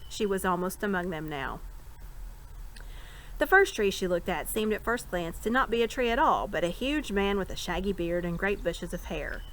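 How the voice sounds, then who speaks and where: A woman reading a children's fantasy novel aloud as an expressive storyteller. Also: mid-range voice, moderate pace. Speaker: storybook reader. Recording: clear background noise.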